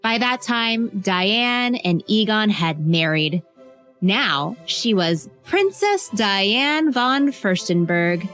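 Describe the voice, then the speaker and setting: A woman reads a scripted biographical story for children, phrasing it clearly like a storyteller with conversational asides. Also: medium pitch, a bit bright. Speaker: narrator.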